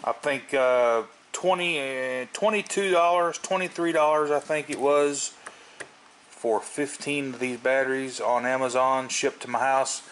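A middle-aged man giving a casual hands-on tutorial, explaining haltingly and thinking aloud as he works indoors at a table. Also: medium pitch. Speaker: host of a tool repair video channel. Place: indoors at a wooden table at home. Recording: steady background noise, home video.

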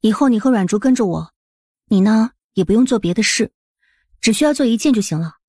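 A confident young lady of the house, played by a woman in an audiobook drama. Her voice is fairly high and recorded dry, with a composed, curious, gently teasing way of talking. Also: animated, fairly quick, breathy edge, dry recording. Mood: composed, intrigued. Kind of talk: Chinese audiobook drama acting.

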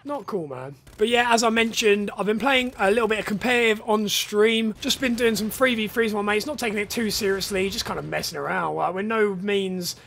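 A young man with a hoarse, partly lost voice, chatting casually over gameplay on a headset and swearing at the game. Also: sits high, a little fast, few pauses, fairly bright tone. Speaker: gaming YouTuber and rugby coach. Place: home room, indoors.

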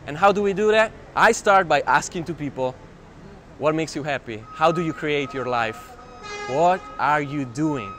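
A man in his twenties with an Italian accent, talking to camera as a travel filmmaker in an animated, exclamatory way, often repeating phrases. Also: a little high, moderate pace, over music. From Italian family, raised near Milan. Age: 20s. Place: outdoors in city squares and streets. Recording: clear urban street noise.

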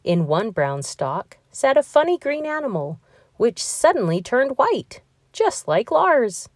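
A woman reads a children's storybook aloud in an expressive, deliberate storytelling manner. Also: a little high, dry recording, studio quality. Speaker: storybook reader. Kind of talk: children's read-aloud narration.

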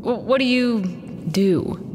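A woman's voice: on the high side, slow, in a large hall, music in the background. A woman playing a blunt, skeptical squad member in an audio drama, with a questioning manner.

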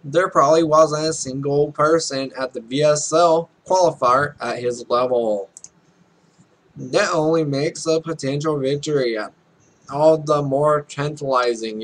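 A man's voice: a little high, measured pace, a bit bright, rough recording. A young man hosting a let's play, reading game text aloud with casual, irreverent, sweary asides. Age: young adult.

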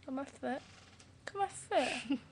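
A teenage girl joking with a friend on a bedroom webcam, playful, with a deadpan fake sales pitch. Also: slow, slight background noise. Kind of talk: casual webcam chat. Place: bedroom at night. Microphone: laptop webcam.

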